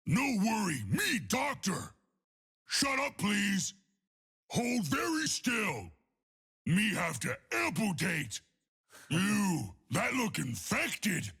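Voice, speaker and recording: An actor voicing a huge, dim-witted monster doctor in a video game, rough and booming, cheerfully menacing with broken childlike grammar. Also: male voice, big pitch swings, slow pace, sharp tone, shouting, exaggerated voice. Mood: gleeful, menacing. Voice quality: gravelly, rough. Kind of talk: exaggerated video game character voice lines.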